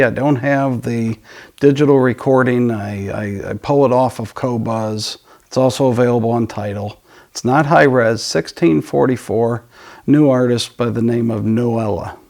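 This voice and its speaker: A man hosting a casual audio talk, enthusiastic but halting, often trailing off and picking the thought up again. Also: mid-range voice, vocal fry, a little mellow. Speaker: audio dealer and show host. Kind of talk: casual product and music talk.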